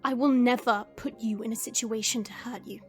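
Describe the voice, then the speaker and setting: A woman playing a regal dragon queen in a scripted audio roleplay, earnest and resolute, with formal, deliberate phrasing. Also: a little high, steady tone, close to an everyday voice. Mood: solemn reassurance. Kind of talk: scripted audio roleplay acting with first-person narration. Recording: music behind the voice. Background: background music.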